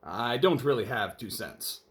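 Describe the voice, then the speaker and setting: A man's voice: medium pitch, expressive, unhurried, a bit bright. A man voicing an indignant, pompous male town mayor in a children's storybook, with emphatic delivery. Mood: exasperated.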